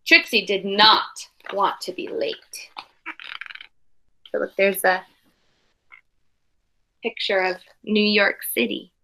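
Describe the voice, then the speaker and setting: A woman doing an expressive, dramatic children's read-aloud, pausing with asides to explain things simply like a teacher. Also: mid-range voice, slight background noise, low-quality recording. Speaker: teacher reading a picture book to young pupils.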